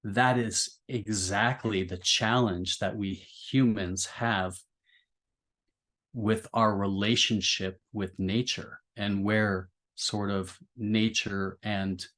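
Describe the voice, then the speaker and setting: A man in a thoughtful literary discussion, speaking reflectively and feeling his way through roundabout, halting phrasing. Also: on the low side, steady tone, a bit bright. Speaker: podcast discussion participant.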